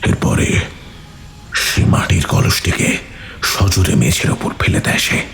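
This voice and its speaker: A man narrating a scripted horror story in a dramatic, breathy, suspenseful manner. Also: slow pace, slight background noise. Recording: music under the voice.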